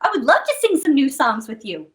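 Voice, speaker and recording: A woman's voice: sits high, very animated, fairly quick. A woman hosting a live storytime for children, playful and exclamatory, talking straight to her young viewers.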